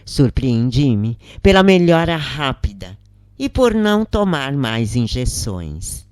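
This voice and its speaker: A Brazilian woman narrates a first-person tale in a low register. Her delivery is unhurried and dramatic, with wide swings in intonation, captured in a close, dry recording. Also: sing-song, a little mellow, no echo. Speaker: narrator reading a spiritist story aloud.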